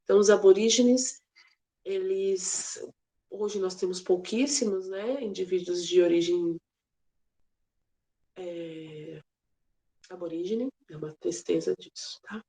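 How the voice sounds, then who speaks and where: A woman's voice: lowish voice, takes it slowly, pauses often, mellow. A woman teaching a casual geography class, chatty and playful, with many fillers and restarts. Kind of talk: casual online class lecture.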